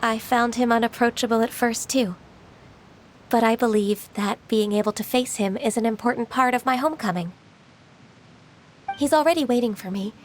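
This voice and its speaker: A woman voicing a gentle, dutiful young half-immortal woman in a video game, speaking in a measured, polite and earnest way. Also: on the high side, slight background noise, natural voice. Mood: earnest, reflective. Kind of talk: English video game voice acting. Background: game music.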